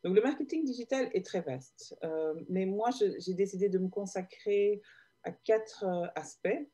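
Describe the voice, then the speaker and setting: A woman's voice: medium pitch, a little slow, mellow. A woman from Belgium, a guest explaining her digital marketing work in a hesitant, filler-heavy way over a video call. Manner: hesitant, many fillers and restarts. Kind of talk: casual interview answers.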